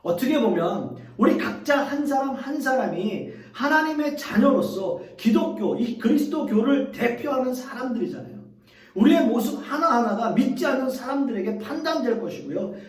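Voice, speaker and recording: A middle-aged man preaches with earnest conviction, his higher voice rising and falling in lively sweeps as he turns to the congregation again and again. The sound comes from some distance off the mic and rings through a roomy church hall. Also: normal speed. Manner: earnest preaching, addresses the congregation directly, leans on repeated phrases. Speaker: pastor. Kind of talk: sermon.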